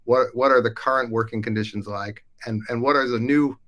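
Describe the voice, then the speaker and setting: A man's voice: mid-range voice, fairly even intonation, brisk, a bit bright. A man hosting a live podcast, chatty and upbeat, introducing colleagues. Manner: upbeat, chatty, with frequent fillers. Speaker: host. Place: Denmark.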